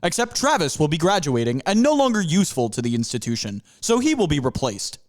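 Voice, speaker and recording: A man's voice: fairly high pitch, sing-song, fairly quick. A man voicing a cheerful male panther mascot who is a scientist, lecturing his students in a proud, upbeat way. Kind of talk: amateur comedy audio drama.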